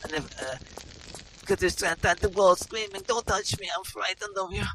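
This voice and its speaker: A man's voice: high-pitched, normal speed, background noise, natural voice. A man voicing a lewd, brazen woman adventurer in broken English, improvising over gameplay. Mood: pleading. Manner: breathy, leering, broken English.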